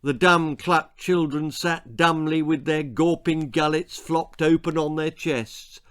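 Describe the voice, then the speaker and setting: A man reading a comic children's horror story in a theatrical, expressive storyteller's manner. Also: fairly high pitch, takes it slowly, clean tone.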